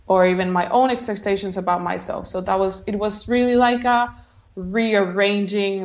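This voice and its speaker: A woman's voice: mid-range voice, dull audio. A woman from Colombia with a Colombian Spanish accent, a guest telling her story reflectively, often saying "like". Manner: reflective, uses many "like" fillers, laughs softly. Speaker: guest, creativity coach. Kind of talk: casual interview.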